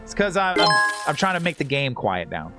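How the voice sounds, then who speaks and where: Animated, higher-pitched man streaming a game. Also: a little fast, loud music behind the voice. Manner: informal, slangy and profane, laughs easily. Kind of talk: casual live-stream chat while playing a game.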